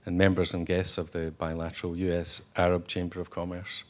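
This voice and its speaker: A man giving a conference speech as a company executive, halting, with many fillers and restarts. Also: low-pitched, monotone. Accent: non-Texan. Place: hotel conference room.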